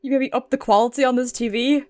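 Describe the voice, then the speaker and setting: A woman's voice: sits high, steady tone, fast, a little mellow. A British woman giving casual, playful live commentary as she plays a game, talking to herself and reading dialogue aloud. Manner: talks to herself, playful, giggly, mildly sweary. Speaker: game streamer. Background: game music.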